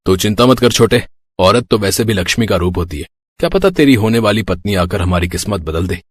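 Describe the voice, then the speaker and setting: Man acting a working son of a poor household, low pitch, dry close sound, cartoon story. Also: animated, fairly quick, no echo. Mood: earnest.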